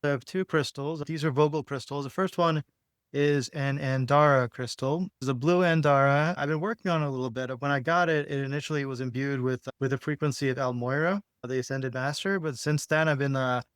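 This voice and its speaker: A man with a very clear, smooth voice, recorded close and dry. He talks in a casual, laid-back way, like a spiritual podcast host guiding someone through a healing session. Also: medium pitch, fairly even intonation, a little fast, no room sound. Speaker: podcast host and energy healer.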